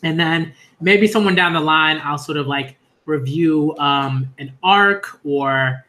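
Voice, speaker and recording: A man hosting a casual live stream about manga, talking loosely with lots of fillers and getting excited and loud at big moments. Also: a little high, slow pace. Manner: loose, rambling, heavy on fillers and "y'all"; excitable, rising into shouts at story moments. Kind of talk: casual live-stream chat and reading reactions.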